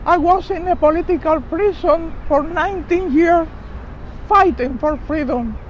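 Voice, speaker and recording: Very high-voiced older Cuban woman speaking slowly. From Cuba. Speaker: speaker at a press conference. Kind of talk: public testimony speech. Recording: dull outdoor recording with traffic noise.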